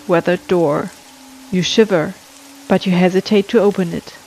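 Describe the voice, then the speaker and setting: A woman reading a scripted spooky story as narrator, in a measured, atmospheric storytelling manner. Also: mid-range voice, darkish tone, slight background noise, poor audio. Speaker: storyteller and channel host. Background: music.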